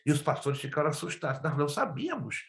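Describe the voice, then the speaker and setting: A man's voice: a little high, sing-song, fast, a bit bright. Emphatic Brazilian male pastor, roomy echo. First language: Spanish. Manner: emphatic, exhorting, repeats phrases, puts questions to listeners. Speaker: pastor teaching a theology class. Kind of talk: preacherly lesson with personal testimony. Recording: roomy, echoing sound.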